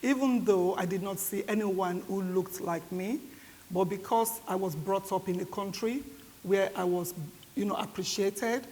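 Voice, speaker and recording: A woman's voice: mid-range voice, unhurried, mellow. Older Nigerian-accented woman in echoey hall. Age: 50s or older. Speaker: conference panellist. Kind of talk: panel discussion remarks. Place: reverberant indoor event hall. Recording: echoey hall with clear background hiss.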